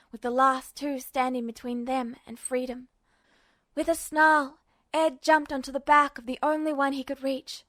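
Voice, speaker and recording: A woman's voice: sits high, fairly bright tone, no echo. A woman reading scripted fiction as an audiobook-style narrator. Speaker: podfic narrator.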